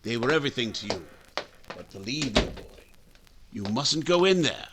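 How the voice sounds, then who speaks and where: An actor playing a firm, protective small-town doctor with an authoritative manner, in old-time radio style. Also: male voice, mid-range voice, sing-song, normal speed, natural voice. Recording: faint noise, clicks.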